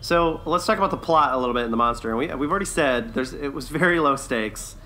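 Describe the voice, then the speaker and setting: A man's voice: mid-range voice, fast talker, sharp tone, slight background noise. A man co-hosting a review podcast, chatting casually and enthusiastically in an informal way. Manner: informal, enthusiastic, laughs often. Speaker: podcast co-host reviewing a TV series. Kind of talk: casual chat, review discussion.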